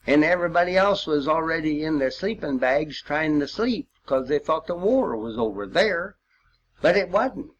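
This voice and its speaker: Old country guy, kinda high voice that goes up and down a lot, takes his time telling folksy war memories. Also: animated, moderate pace, muffled recording, slight background noise. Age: 80s or older. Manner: folksy, rambling storytelling with old-time expressions. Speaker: World War II veteran sharing memories. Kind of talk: informal spoken reminiscence.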